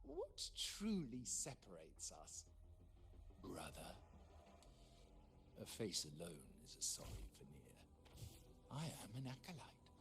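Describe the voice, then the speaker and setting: A mocking, fanatical video game villain, with very animated pitch that rises and falls sharply. The delivery is theatrical and drawn out, toying with the listener like a false acolyte. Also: male voice, slow pace, vocal fry, pauses often, noisy background, exaggerated voice.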